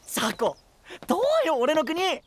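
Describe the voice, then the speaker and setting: An excitable, boyish young knight. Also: male voice, very high-pitched, a little slow, a little hiss or hum, exaggerated voice. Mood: excited. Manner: excitable, casual, rustic, boyish. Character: eager, boyish young male knight, cheerful wanderer. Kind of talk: anime voice drama acting.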